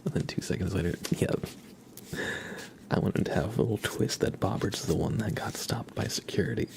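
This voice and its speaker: A man hosting an ASMR livestream, talking softly in a gentle, unhurried, chatty way to his viewers. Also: low voice, vocal fry, a little hiss or hum. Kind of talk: ASMR, soft whispered live chat and reading.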